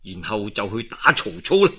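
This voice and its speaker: A man's voice: fairly low pitch, very animated, normal speed, sharp tone, husky, pitched below the performer's own voice, heavily acted. A man voicing a scheming male advisor who counsels his lord in a deferential, urging manner, as part of a told story.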